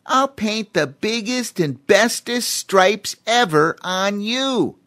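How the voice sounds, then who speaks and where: A man voicing a boastful young male chimpanzee with a squeaky, brash manner in a storybook reading. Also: lively intonation, slow, a bit bright, heavily acted.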